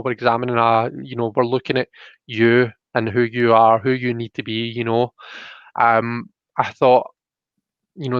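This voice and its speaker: A man hosting a casual live-stream, chatting in a tentative, apologetic way. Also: medium pitch. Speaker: host of a live tarot reading show. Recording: muffled, roomy home live-stream sound.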